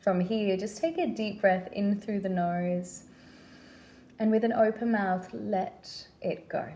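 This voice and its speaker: A woman leading a guided meditation with gentle, unhurried instruction and pauses between phrases. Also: mid-range voice.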